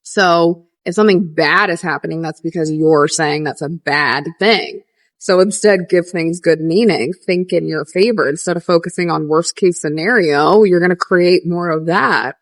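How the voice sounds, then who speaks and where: A young adult woman coaching viewers on a livestream, earnest and repetitive, answering questions with lively rises. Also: on the low side, normal speed, no echo. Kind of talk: casual livestream teaching, reading viewer questions.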